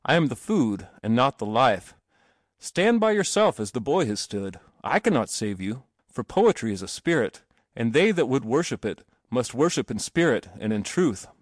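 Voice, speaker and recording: A man's voice: mid-range voice, very animated, moderate pace, no room sound, studio quality. A man voicing a solemn, oracular omnibus driver in a storybook, speaking in grave declarations.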